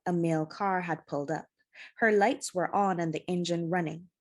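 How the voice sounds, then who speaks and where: A woman reading a children's adventure novel aloud as scripted narration, with expressive, dramatic storytelling. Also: fairly low pitch, moderate pace. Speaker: storybook reader.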